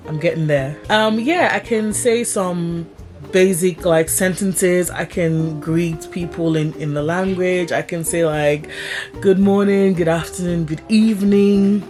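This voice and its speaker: A woman's voice: on the low side, a little mellow. A young woman vlogging casually to her viewers, chatty and laughing often. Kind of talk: casual vlog talk to camera. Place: village home abroad.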